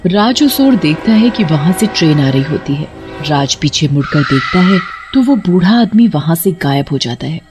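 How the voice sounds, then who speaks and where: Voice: medium pitch, animated, moderate pace, music in the background. A narrator reading a scripted horror story in the third person.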